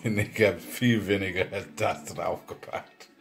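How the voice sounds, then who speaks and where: A man's voice: sits low, steady tone, unhurried, vocal fry, faint music behind. A man chatting and joking in his home kitchen while cooking, filmed on a phone, laughing often. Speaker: home video blogger. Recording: phone video with kitchen noise.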